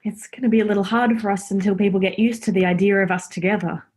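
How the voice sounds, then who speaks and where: A woman's voice: mid-range voice, steady tone, fast, darkish tone, husky, close to an everyday voice. An actress in a radio play playing a teasing, blunt young woman, a devoted girlfriend and sister, in a naturalistic style. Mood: reassuring.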